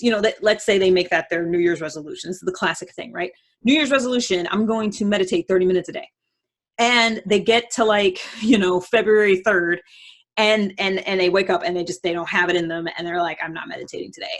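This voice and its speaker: A woman's voice: mid-range voice, a little fast. A woman giving casual motivational advice as a host, conversational and emphatic, often voicing imagined self-talk.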